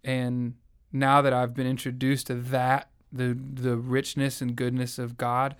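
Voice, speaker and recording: A man's voice: medium pitch, monotone, measured pace, clean tone. A young man from the South, earnestly and reflectively telling his faith story in an interview. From American South. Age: young adult. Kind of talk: personal testimony in conversation.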